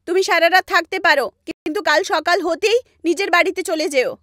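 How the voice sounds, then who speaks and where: A woman voicing an angry young village wife who scolds shrilly in an animated horror story. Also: very high voice, a little fast, pitched above the performer's own voice. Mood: annoyed.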